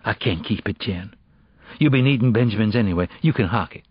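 A man's voice: fairly low pitch, brisk, slightly rough, dry recording, muffled recording. A man voicing an evasive, lovestruck teenage girl in an audiobook, slangy, reluctant and defensive as she confesses.

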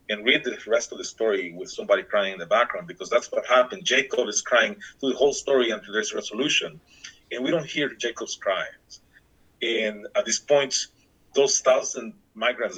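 Low husky voice of a man from Guatemala. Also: sharp tone, low-quality recording. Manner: halting, with restarts and fillers. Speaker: interviewee, church worker. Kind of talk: reflective interview talk.

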